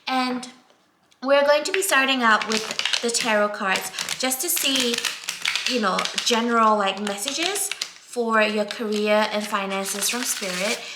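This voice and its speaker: A woman from Singapore, doing a casual tarot reading in a gentle, unhurried way with many fillers, dropping to a near whisper as she draws cards. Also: fairly high pitch. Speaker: tarot reader. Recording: echoey room, faint background noise.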